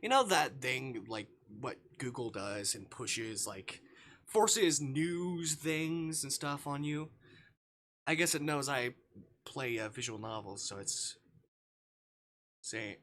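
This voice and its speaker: A man's voice: mid-range voice, animated, takes it slowly, fairly bright tone. A man livestreaming, reading a visual novel aloud and dropping into casual, sweary asides.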